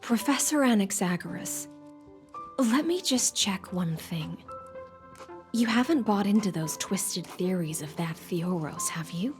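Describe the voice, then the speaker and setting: A woman's voice: medium pitch, expressive, measured pace, soft background music, natural voice. A woman voicing a composed, courteous female character in an anime-style video game, speaking formally and politely. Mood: wary. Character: composed, courteous female companion, gently questioning.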